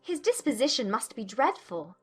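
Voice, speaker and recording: Woman as spirited young gentlewoman, high bright voice, emphatic, formal, indignant, audiobook period drama. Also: big pitch swings, normal speed, slightly rough, faint music behind, exaggerated voice. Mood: troubled, indignant. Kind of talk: dramatized audiobook character acting.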